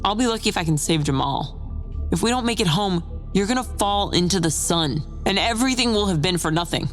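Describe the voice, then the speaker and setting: A woman's voice: on the low side, lively intonation, fairly quick, close to an everyday voice. A woman playing a worn-down, anguished crew member in a sci-fi audio drama, sounding bitter and emotional. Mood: weary despair. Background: music.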